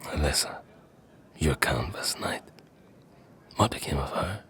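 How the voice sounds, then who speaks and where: Very deep, whispery, bitter man. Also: animated, heavily acted. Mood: probing. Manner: slow, hushed, probing and sardonic. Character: bitter, wounded highland man, indebted and sardonic. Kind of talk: audio drama acting.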